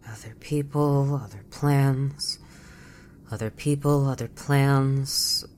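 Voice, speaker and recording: An actor, a woman, playing a flustered, awkward space colony rebel in an audio drama, halting, hesitant and repeating herself. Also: extremely low pitch, steady tone, a little mellow, slight background noise. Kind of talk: scripted audio drama acting.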